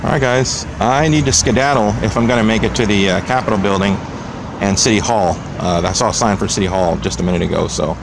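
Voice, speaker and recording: Low, gravelly American man vlogging outdoors. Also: fast talker. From Texas, United States. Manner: wry, rambling, joking asides. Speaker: video blogger filming a public-space audit. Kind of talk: casual running commentary to camera. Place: outdoors on a public sidewalk by a road. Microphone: handheld camera microphone, operator behind camera. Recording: outdoor handheld camera with street noise. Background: passing vehicles and outdoor ambience.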